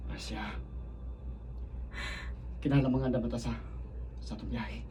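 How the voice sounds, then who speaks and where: An actor playing an earnest, apologetic man in a radio drama, speaking in a hushed, halting way with a Filipino accent. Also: mid-range voice, steady tone, natural voice. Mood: concerned, gentle. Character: earnest, apologetic man gently turning down an admirer. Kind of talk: Cebuano radio drama acting. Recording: distant mic, background ambience noise.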